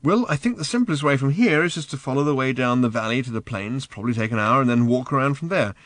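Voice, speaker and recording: A man voicing an exasperated, frazzled human man who turns sarcastic and fretful, halting with hesitant uhs in calm moments and rising to strained near-shouts. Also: mid-range voice, no echo, studio quality, pitched above the performer's own voice, close to an everyday voice. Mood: flustered.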